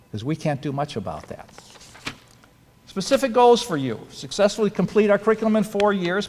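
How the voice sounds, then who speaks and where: A man's voice: on the high side, a little mellow. A man as a dental school dean giving an earnest, advice-filled ceremony speech. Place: auditorium. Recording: reverberant hall.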